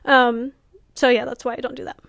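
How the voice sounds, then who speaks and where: A woman author talking casually to camera, full of fillers and small laughs, whose voice is going hoarse. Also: fairly high pitch, little pitch movement, brisk, a bit bright, no echo, studio quality. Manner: chatty, many fillers, laughs often. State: losing her voice. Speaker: author vlogger.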